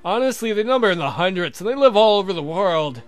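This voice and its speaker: A man's voice: high voice, lively intonation, quick pace, clean tone, faint music behind. A man voicing a courtly, lovestruck vampire count from a visual novel game, speaking formally and earnestly. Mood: earnest confessing. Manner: formal, verbose, confessing.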